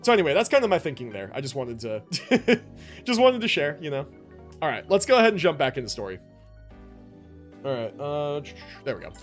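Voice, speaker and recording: Male game streamer, slightly high and animated, loose slangy chat with viewers. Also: brisk, pauses often, soft background music. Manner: informal gamer slang, rambling. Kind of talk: casual livestream commentary, chatting with viewers.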